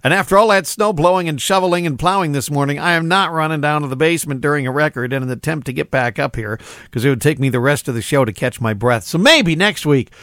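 A middle-aged man hosts an oldies radio hour, chatting between records in a loose, upbeat, rambling way full of "uh"s. His voice sits a bit high for a man. The sound is dry and close, with no room echo. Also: quick pace, fairly bright tone. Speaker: radio show host. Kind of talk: casual radio DJ chat.

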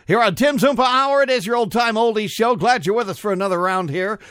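A man's voice: high voice, fairly quick, a bit bright, smooth, dry recording, clean studio sound. A man hosting an old-time oldies radio show, chatting casually and rambling as he loses his thread between records. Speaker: radio host.

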